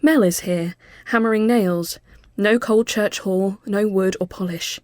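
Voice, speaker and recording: A woman reading a literary ghost story as scripted narration, measured and often hushed as phrases end. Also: medium pitch, no room sound, clean studio sound.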